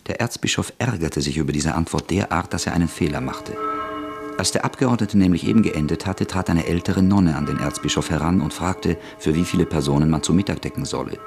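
A man narrating a radio play in a measured storytelling way. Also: a bass voice, gravelly, over music.

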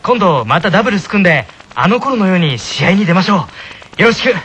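A man's voice: high-pitched, very animated, fast, slightly rough, heavily acted. A man playing an earnest, polite teenage tennis club vice-captain in a comic anime radio sketch. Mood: earnest, cheerful. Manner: polite, eager, self-announcing. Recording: loud music bed behind voice. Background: music.

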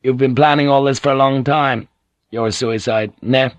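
A man's voice: mid-range voice, fairly even intonation, normal speed, no room sound, voice pushed up, lightly acted. A man playing a gloomy, love-struck man, blunt and terse, in an audiobook. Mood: troubled, blunt.

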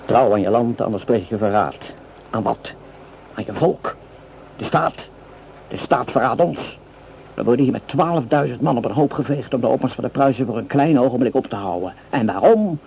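Gravelly, cynical soldier in radio drama. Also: male voice, mid-range voice, animated, normal speed. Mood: bitter, heated. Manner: coarse, sarcastic, emotional. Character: cynical, hot-tempered French common soldier, grumbling and mocking. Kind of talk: Dutch radio play acting. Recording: dull, noisy old radio play recording.

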